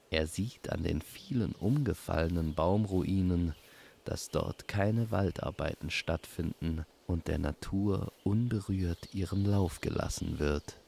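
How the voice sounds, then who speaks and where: Very deep, slow, creaky male storyteller. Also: slight background noise. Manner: calm, unhurried, soothing bedtime-story delivery. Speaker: storyteller and host. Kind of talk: slow scripted fantasy audio storytelling.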